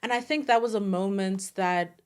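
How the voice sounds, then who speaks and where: A woman's voice: mid-range voice, normal speed, smooth. A woman with a South African accent hosting a casual podcast chat in an earnest, conversational way. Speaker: podcast host.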